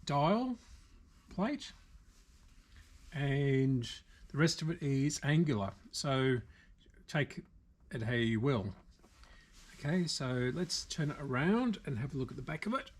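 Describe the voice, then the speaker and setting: An Australian man talks through a repair job in a casual, unscripted way. His pace is slow and halting, as if working things out while he speaks. The pitch sits in an ordinary middle range for a man. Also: expressive. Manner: unscripted, halting, thinking aloud. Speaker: video host, radio repairer. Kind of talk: casual hands-on repair commentary. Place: indoor workshop.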